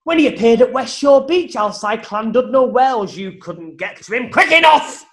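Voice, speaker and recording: A man's voice: very high-pitched, animated, normal speed. A British man playing an exasperated, defensive masked superhero in a comic radio play, protesting melodramatically.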